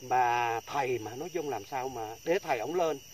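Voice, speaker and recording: A man's voice: medium pitch, normal speed. A middle-aged man chatting jokingly to viewers from a pond bank, often repeating his words, picked up by a distant camera. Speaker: angler in a friendly contest, addressing viewers. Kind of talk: casual joking chat to viewers. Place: outdoors on a grassy pond bank. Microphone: camera a few metres away. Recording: distant outdoor camera, faint background noise.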